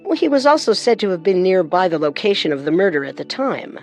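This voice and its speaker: A woman co-hosting a scripted true-crime program, speaking in a serious, deliberate way. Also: fairly low pitch, big pitch swings, soft background music.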